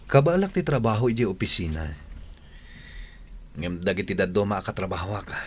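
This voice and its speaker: A man's voice: on the low side, big pitch swings, brisk. A man playing an earnest, put-upon office worker in a Filipino radio drama, with an Ilocano accent. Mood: troubled. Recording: noisy old radio recording.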